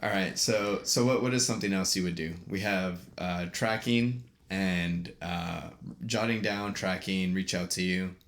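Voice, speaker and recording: A man's voice: low-pitched, fairly even intonation, unhurried, fairly bright tone. A young man hosting a casual podcast, talking loosely with lots of fillers and restarts and laughing easily. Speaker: podcast host. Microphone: USB desk microphone.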